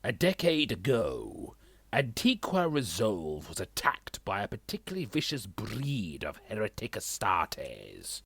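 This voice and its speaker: A man playing a gravelly, grim old pastor. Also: lowish voice, wildly swooping pitch, fairly bright tone, dry recording, full character voice. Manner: slow, solemn, drops to whispers. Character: grim older male pastor, stern and secretive. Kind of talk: fan audio drama acting.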